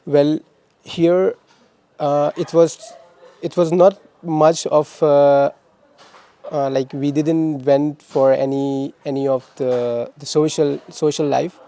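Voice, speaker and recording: A young man from Pakistan answering interview questions about his studies, speaking in a halting way with many restarts and repeated words. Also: a little high, little pitch movement, mellow. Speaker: interviewee, former master's student.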